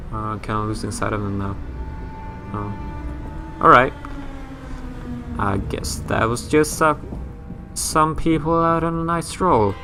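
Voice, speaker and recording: A man from Sweden with a Swedish accent, rambling and joking his way through a horror game let's play. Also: mid-range voice. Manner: rambling, self-mocking, swears casually. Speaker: gaming YouTuber.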